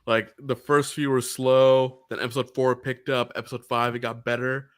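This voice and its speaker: A man's voice: medium pitch, little pitch movement, moderate pace, no echo, studio quality. A man co-hosting a podcast in easygoing, chatty conversation who laughs often. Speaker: podcast co-host. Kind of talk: casual podcast chat.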